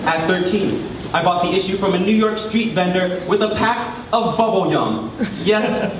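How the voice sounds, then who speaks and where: Young male spoken word poet, high voice, theatrical and deliberate, stretching key words, captured from far off in a noisy hall. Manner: dramatic, deliberate phrasing with drawn-out emphasis. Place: large room before a live audience. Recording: echoey hall, distant mic, clear background noise.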